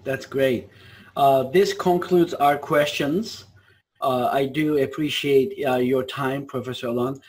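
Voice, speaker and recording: A man's voice: mid-range voice, breathy edge. A man for whom English is a second language, politely moderating a webinar and reading out audience questions with some hesitation. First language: not English.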